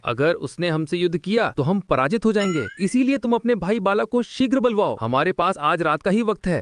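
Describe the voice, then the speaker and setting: A man playing a shrewd, confident goat king in a cartoon moral story, with a measured, regal way of talking. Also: high voice, dry recording. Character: shrewd, confident billy-goat king, calm and far-sighted. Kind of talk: animated moral story dialogue.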